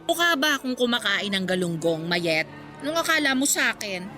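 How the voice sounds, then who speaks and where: Haughty Filipina neighbour, swooping and high. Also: female voice, big pitch swings, moderate pace, sharp tone, faint music behind. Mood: scornful. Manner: sneering, snobbish, dismissive. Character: haughty, stingy middle-aged female neighbour, scornful haggler. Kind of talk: radio drama acting.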